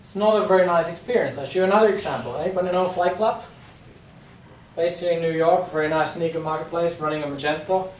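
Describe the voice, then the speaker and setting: Finnish guy pitching to a meeting room, bit high voice, caught by a far camera, echoey. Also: fast talker, slight background noise. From Finland. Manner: chatty, repeats words, many ums. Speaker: presenter. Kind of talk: business presentation. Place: conference room with audience. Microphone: far camera, no mic.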